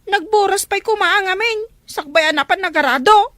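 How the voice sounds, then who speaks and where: A woman's voice: very high voice, normal speed, a bit bright, no room sound. A woman with an Ilocano accent playing a young, complaining, scheming farm daughter in a family drama. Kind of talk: amateur Ilocano radio-style family drama.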